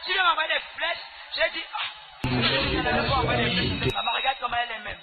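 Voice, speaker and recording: A woman works as a church interpreter, relaying a testimony live in emphatic, animated bursts. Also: on the high side, moderate pace. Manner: emphatic, echoing the preacher. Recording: tinny phone-like band, loud music.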